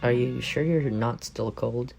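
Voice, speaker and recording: A man's voice: mid-range voice, unhurried, vocal fry, a little mellow, faint music behind. A young man playing an affectionate, teasing roommate with a secret crush in a casual, intimate ASMR roleplay. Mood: tender, coaxing. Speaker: voice actor in audio roleplay.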